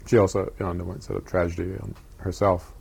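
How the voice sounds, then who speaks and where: Gravelly low-voiced older man, halting. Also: flat delivery, darkish tone, slight background noise. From Japan. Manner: halting, many pauses and fillers. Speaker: interviewee. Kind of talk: oral history interview.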